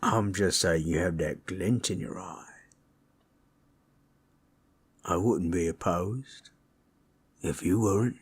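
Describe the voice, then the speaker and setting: A man voicing a gentle, proud orc warrior in an intimate audio roleplay, telling tales in a folksy, rough-hewn, husky way. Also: low voice, a little slow. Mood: tender, flirtatious. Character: gentle, proud male orc warrior storyteller, affectionate, teasing. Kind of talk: intimate comfort roleplay storytelling.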